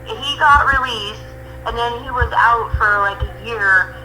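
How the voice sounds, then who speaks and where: A woman's voice: a little high, unhurried, pushed and bright, faint music behind, rough recording. A woman in her forties calling in to a show, telling a rambling story with emphatic swearing. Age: 40s. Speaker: caller. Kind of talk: casual call-in chat.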